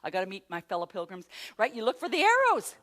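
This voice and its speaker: A middle-aged woman, a motivational speaker, telling a self-mocking story to a live audience, rising to loud exclamations. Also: mid-range voice, huge pitch swings, fast. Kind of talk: storytelling keynote talk.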